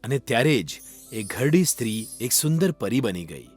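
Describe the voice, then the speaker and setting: A man's voice: medium pitch, big pitch swings, normal speed. A man narrating a scripted children's fairy tale as a storyteller. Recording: music under the voice. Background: background music.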